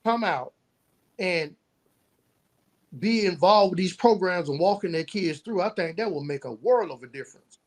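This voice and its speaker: A man's voice: sits high, animated, normal speed, a little mellow. An American man answering as a podcast guest in an earnest, conversational way, building his points in lists. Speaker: podcast guest, youth mentor and nonprofit founder.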